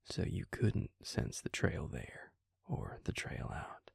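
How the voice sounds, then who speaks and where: A man playing a gentle, concerned Southern vampire confidant in an intimate audio roleplay, speaking in a hushed, halting, husky voice with a Southern US accent, close to the mic. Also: big pitch swings, dry recording, in a whisper, full character voice. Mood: careful, curious. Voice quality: breathy, husky, gravelly low voice. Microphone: close mic, dry sound.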